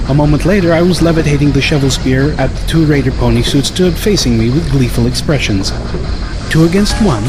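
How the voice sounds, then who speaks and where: A man reading a scripted first-person story as an audiobook narrator. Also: mid-range voice.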